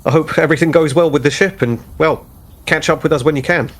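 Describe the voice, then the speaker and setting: A man's voice: on the high side, fast talker. A man taking part in a tabletop role-playing game, conversationally narrating and speaking for his character.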